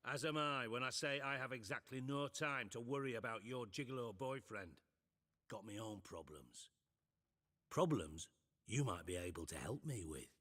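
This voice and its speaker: A man's voice: medium pitch, moderate pace, a bit bright, natural voice. An actor playing a scheming, sardonic male spymaster in a game cutscene, delivering dry, menacing lines.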